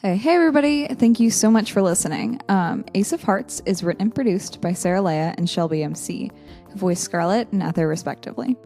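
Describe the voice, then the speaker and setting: A woman's voice: mid-range voice, lively intonation, a little fast, warm tone. A woman announcer reading podcast credits in an informal way. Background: music.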